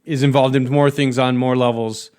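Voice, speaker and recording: A man's voice: mid-range voice, level tone, normal speed, a little mellow, clean tone. An American man hosting a podcast interview in a casual, chatty, discursive way. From United States. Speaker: podcast host.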